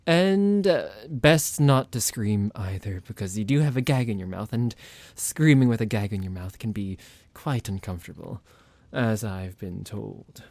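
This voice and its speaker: A man's voice: on the low side, expressive, a little slow, darkish tone, no room sound. A man playing a psychotic vampire doctor in an ASMR roleplay, condescending and playful toward a captive, with mock-polite, hesitant, musing delivery. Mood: mock-polite, menacing.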